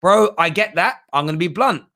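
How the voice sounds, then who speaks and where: Man, football livestream host, slightly high voice, bright forceful tone, blunt, repetitive, casual fan talk. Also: expressive, a little fast, creaky. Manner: blunt, emphatic, repeats himself, rhetorical questions. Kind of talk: casual live fan talk, reading out viewer comments.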